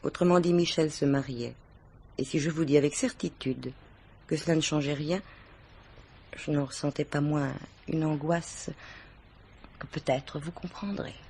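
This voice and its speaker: An actress playing a worldly, composed middle-aged married mistress questioned by police in a French radio mystery, with measured, formal diction. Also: female voice, deep voice, steady tone, mellow, a little hiss or hum. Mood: proud, uneasy.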